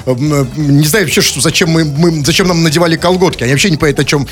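A man's voice: fairly high pitch, rapid-fire. A man hosting a casual comic radio show, bantering in a mock-serious, digressive way with teasing rhetorical questions. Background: music.

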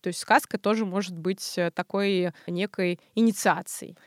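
A woman speaking as an expert guest in a podcast interview, explaining in a colloquial, animated way. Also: fairly low pitch, normal speed, dry recording, studio quality.

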